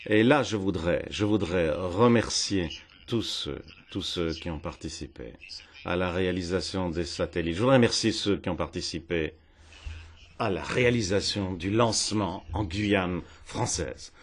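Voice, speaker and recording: A man with a fairly low voice interprets a speech in real time. His delivery comes in halting bursts, and he repeats phrases as he catches up with the speaker. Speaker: simultaneous interpreter. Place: parliament hemicycle.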